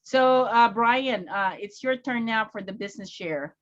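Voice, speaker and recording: A woman hosting a casual online networking call, introducing members in a halting way with many fillers. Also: fairly high pitch. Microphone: video call. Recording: dull lo-fi call audio.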